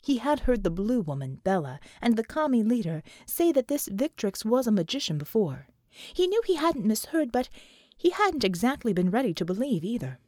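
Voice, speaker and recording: Female narrator for fiction audiobook: lively melodic range, dry studio-like sound, dramatic scripted read. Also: a little high, normal speed, no echo.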